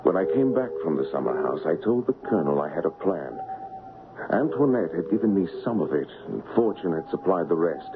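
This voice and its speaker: A deep-voiced gallant radio-drama adventurer. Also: male voice, sing-song, brisk, heavy background noise. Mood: measured storytelling. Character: brave gallant adventurer posing as a king, devoted lover. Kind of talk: radio drama acting and first-person narration. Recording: dull 1970s radio broadcast, music behind.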